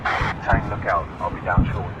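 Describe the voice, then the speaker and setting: An actor playing a watchful, steady ally in a science-fiction game, speaking tersely and levelly. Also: male voice, moderate pace, lightly acted. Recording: very loud rumbling background noise.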